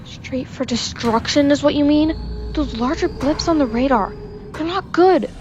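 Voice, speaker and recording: Woman acting a sarcastic, high-voiced ship engineer. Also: unhurried, highs cut off, music in the background. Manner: dry sarcasm. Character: sarcastic female ship engineer, sceptical and exasperated. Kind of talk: sci-fi comedy radio drama.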